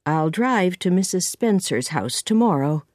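A woman's voice: on the low side, animated, unhurried, darkish tone, no room sound, studio quality. A woman voicing a stern, practical farmhouse woman in a storybook, with a firm, no-nonsense manner. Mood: decided.

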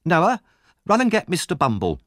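A man's voice: on the high side, wildly swooping pitch, moderate pace, a bit bright, no echo, clean studio sound, voice pushed up. A man voicing an indignant, self-pitying married woman with a dramatic manner in a storybook. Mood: alarmed. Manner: dramatic, aggrieved. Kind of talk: storybook narration character.

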